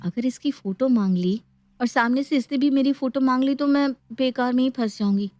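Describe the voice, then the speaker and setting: A woman's voice: high-pitched, moderate pace, a little mellow, no room sound, pitched above the performer's own voice, close to an everyday voice. A woman voicing a friendly, self-conscious young woman who mixes English into chatty Hindi. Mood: smitten, worried.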